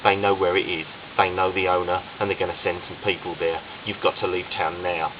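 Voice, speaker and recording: A man's voice: low voice, little pitch movement, distant mic, close to an everyday voice. A man reading an urgent woman's voicemail. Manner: urgent, hurried warning. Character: anxious woman warning on voicemail. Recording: slightly dull with faint hiss.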